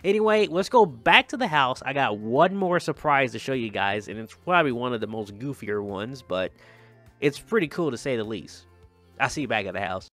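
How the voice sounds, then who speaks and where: A man's voice: mid-range voice, lively intonation, moderate pace, fairly bright tone. Casual man commentating over music. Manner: informal, colloquial, often repeats phrases. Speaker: gaming video creator and host. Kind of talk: casual gameplay commentary, chatty.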